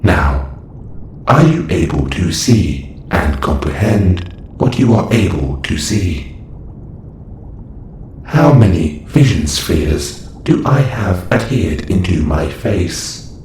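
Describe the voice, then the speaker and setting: A man's voice: low-pitched, sing-song, takes it slowly, darkish tone, heavily acted. A man voicing a clinical, detached observation robot in an audio roleplay, speaking in a stilted, formal, matter-of-fact way. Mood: detached, instructing. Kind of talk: scripted audio roleplay monologue. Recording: roomy echo with faint noise.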